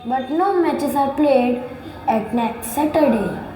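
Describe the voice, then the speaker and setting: High-voiced woman student repeating sentences. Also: slow pace, echoey room, rough recording. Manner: careful repetition with small slips.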